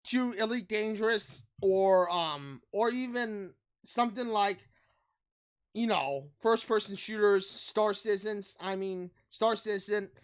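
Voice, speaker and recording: A man's voice: high-pitched, smooth. A man giving a casual, rambling game review, often circling back to repeat his points. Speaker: gaming video creator. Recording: music behind the voice. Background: background music.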